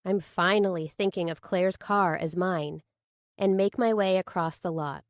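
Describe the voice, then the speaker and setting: A woman's voice: on the low side, unhurried, no room sound, studio quality. A woman reading first-person fiction as an audiobook narrator.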